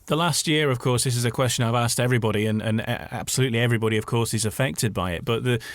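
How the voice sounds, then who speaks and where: A man's voice: medium pitch, racing, no room sound. A man hosting a conversational radio interview, building wordy questions with repeated words.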